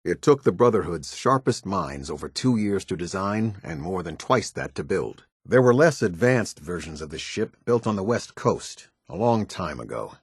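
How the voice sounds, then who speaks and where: An American man playing a stern, formal military officer in a video game, speaking with husky, clipped, measured authority. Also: low-pitched, very animated, no echo, clean studio sound, heavily acted. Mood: measured, grave briefing. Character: stern, formal male military officer of a post-apocalyptic order. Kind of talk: video game character dialogue.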